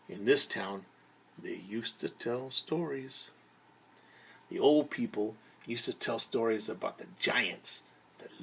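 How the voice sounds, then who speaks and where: A man telling a story as a teacher to young children, theatrical, pausing to explain words simply and acting out sounds. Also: medium pitch, vocal fry, breathy edge.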